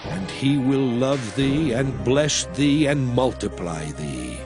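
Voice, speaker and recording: A man's voice: mid-range voice, sing-song, slow pace, music in the background. A man playing a stern aged lawgiver who solemnly declaims commands to his people in a dramatized scripture reading.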